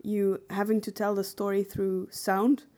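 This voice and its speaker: A woman's voice: medium pitch, level tone, measured pace, mellow. A woman co-hosting a podcast in casual discussion. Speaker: podcast co-host.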